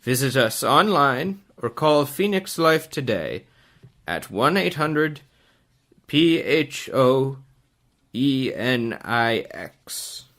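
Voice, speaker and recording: Man playing a slow, solemn executive pitchman. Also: fairly bright tone, heavily acted. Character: blunt, solemn male insurance executive giving a sponsor's pitch. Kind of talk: scripted radio advertisement.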